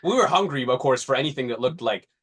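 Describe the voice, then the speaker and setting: A man's voice: medium pitch, expressive, quick pace, a bit bright, breathy edge. A man in his forties co-hosting a podcast in casual discussion, rambling and often restarting mid-thought. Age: 40s. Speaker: podcast co-host.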